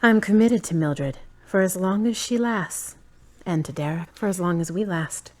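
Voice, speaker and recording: A woman playing a wry, thoughtful grown daughter in an audio drama, measured and dry. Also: fairly low pitch, darkish tone, lightly acted. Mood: dry, affectionate. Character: wry, guarded adult daughter, thoughtful and independent.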